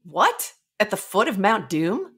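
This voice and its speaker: A woman's voice: medium pitch, sing-song, measured pace, fairly bright tone, breathy edge, exaggerated voice. A woman voicing a brusque, sardonic female dungeon master with dramatic announcements and dry retorts.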